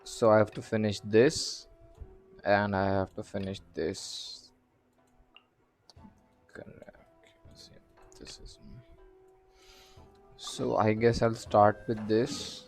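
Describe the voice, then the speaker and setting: A man's voice: on the low side, little pitch movement, slow, long pauses, a little mellow. A man chatting casually on a game livestream, thinking aloud in a hesitant, puzzled way. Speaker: game streamer. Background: faint game music.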